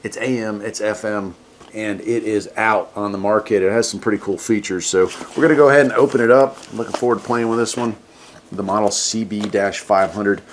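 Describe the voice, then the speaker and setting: Guy with a lowish voice doing a laid-back gear review, folksy, clear noise in the background. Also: fairly even intonation, fairly quick. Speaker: host of a radio gear review channel. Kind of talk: casual hands-on product review.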